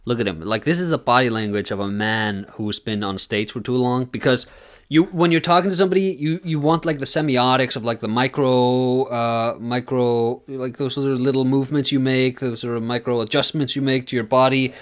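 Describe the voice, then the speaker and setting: A man's voice: mid-range voice, fairly even intonation, brisk. A man casually reacting to a video as a streamer, sarcastic and rambling, with a nasal voice.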